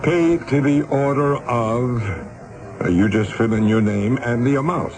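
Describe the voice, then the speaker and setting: A man's voice: mid-range voice, a little slow, lightly acted. An actor playing a ruthless, impatient, wealthy businessman in a radio drama, blustering and scornful as he gives orders. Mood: pressing. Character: domineering wealthy businessman, impatient, ruthless, used to buying people. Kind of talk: 1970s radio drama acting.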